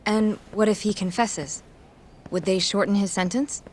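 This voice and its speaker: A woman's voice: mid-range voice, steady tone, measured pace, a little hiss or hum, lightly acted. An actress playing a hesitant, polite female hospital worker in a video game.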